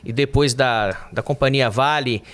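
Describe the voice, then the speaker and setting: A man hosting a podcast, opening the episode and asking the guest questions in a friendly, sometimes halting way. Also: medium pitch, a bit bright. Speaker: podcast host. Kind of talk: podcast introduction and interview questions.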